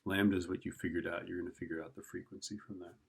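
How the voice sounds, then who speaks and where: Male teacher, low creaky voice, informal tutoring delivery, thinks out loud and checks in often. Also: level tone, fast talker. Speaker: physics teacher. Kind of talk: informal lesson, working through an exam problem.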